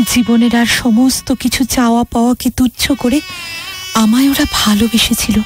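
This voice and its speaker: A woman playing a wounded, loving Mother India in a theatrical audio drama, with an emotive, declamatory delivery. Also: high voice. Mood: wistful nostalgia.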